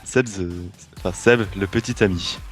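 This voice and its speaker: Young dude, lowish voice, trips over his words, ribbing his buddy, soft music under it. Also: normal speed. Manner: teasing, stumbling over words, playful. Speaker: co-host, fellow player. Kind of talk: casual gaming chat with a friend.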